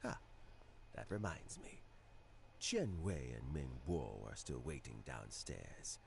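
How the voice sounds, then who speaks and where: An actor playing a wise elderly official in a video game, a calm, fatherly mentor who gives formal, measured counsel. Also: a bass voice, vocal fry, slight background noise, full character voice. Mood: calm, warm. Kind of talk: video game dialogue acting.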